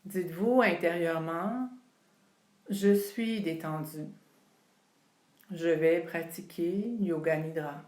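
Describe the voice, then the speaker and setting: Very slow, low-voiced woman guiding relaxation. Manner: calm, unhurried, soothing delivery with long pauses. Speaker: yoga nidra instructor. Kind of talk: guided relaxation meditation. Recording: roomy, echoing sound.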